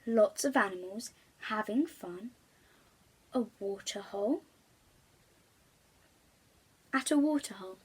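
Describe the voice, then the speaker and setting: A woman's voice: medium pitch, lively intonation, normal speed, slightly rough, low-quality recording. A woman reading a children's storybook aloud, expressively, stressing key words.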